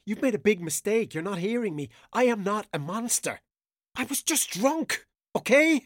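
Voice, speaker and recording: A man's voice: high voice, sing-song, moderate pace, a bit bright, no room sound, clean studio sound, heavily acted. A man playing a frightened captive in a radio drama, with an agitated, defensive, pleading delivery. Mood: pleading, anxious.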